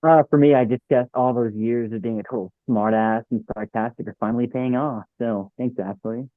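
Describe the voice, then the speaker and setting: Fast-talking man on a dull mic. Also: medium pitch, fairly even intonation, no echo. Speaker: participant in a group discussion. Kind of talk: casual chat.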